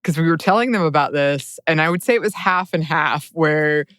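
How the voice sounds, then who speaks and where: A woman with a low, clean and resonant voice shares a story casually as a podcast co-host. Her delivery is conversational. The sound is tight and dry, free of room echo. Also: brisk, a bit bright, smooth.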